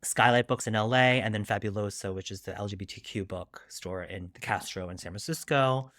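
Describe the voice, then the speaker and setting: A man's voice: lowish voice, brisk, a bit bright, no room sound, studio quality. A middle-aged man from Long Island, New York, speaking candidly and reflectively as a guest in an interview, often adding asides. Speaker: guest author.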